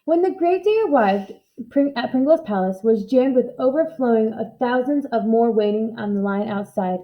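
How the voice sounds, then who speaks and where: High-pitched woman reading a storybook aloud. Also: moderate pace, dull audio. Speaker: storybook reader. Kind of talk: expressive read-aloud narration.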